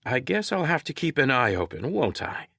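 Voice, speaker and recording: A man's voice: medium pitch, sing-song, normal speed, creaky, bright, forceful tone, breathy edge, dry recording, studio quality, pitched above the performer's own voice, full character voice. A man voicing a tough, assertive woman ship commander in an audiobook story. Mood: scornful. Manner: heated, assertive.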